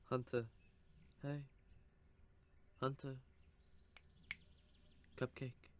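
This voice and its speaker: A man voicing a cocky, possessive male vampire who teases with soft whispered asides, in an audio roleplay. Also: mid-range voice, flat delivery, drawn-out words, long pauses. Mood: teasing. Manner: teasing, smug, with soft whispered stretches.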